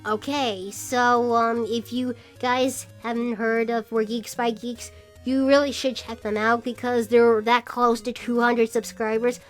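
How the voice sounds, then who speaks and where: Young woman doing a casual shoutout vlog, highish voice, rambles a bit, music in the background. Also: little pitch movement, measured pace. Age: 20s. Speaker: vlogger and podcast host.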